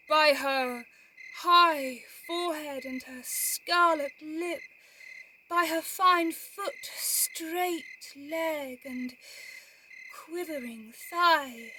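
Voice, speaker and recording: An actress playing a mocking, bawdy, theatrical young friend in an amateur Shakespeare audio drama, recorded at home on a phone. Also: female voice, high voice, extremely slow, sharp tone, a little hiss or hum, full character voice, rough recording. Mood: mocking, teasing.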